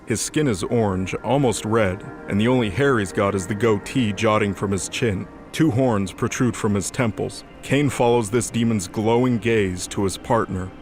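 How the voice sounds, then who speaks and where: A man's voice: on the low side, normal speed, few pauses. A man delivering scripted dramatic narration as the narrator of an audio drama. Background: music.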